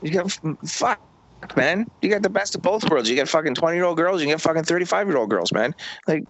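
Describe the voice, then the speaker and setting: A man's voice: fairly high pitch, animated, fairly quick, poor audio. A middle-aged man from Canada, a podcast guest, giving laid-back, profane advice in casual chat.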